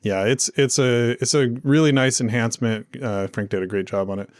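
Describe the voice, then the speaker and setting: A man's voice: mid-range voice, steady tone, brisk, no echo, studio quality. A man hosting a casual tech podcast, chatty and playfully argumentative, often restarting his sentences. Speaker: podcast host.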